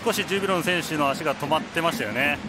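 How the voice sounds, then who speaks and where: A man analyses football replays at a quick, easy pace. He talks with steady fillers. Loud noise sits behind the broadcast. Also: on the high side. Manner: relaxed analytical, many fillers. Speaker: color commentator. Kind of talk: match analysis commentary.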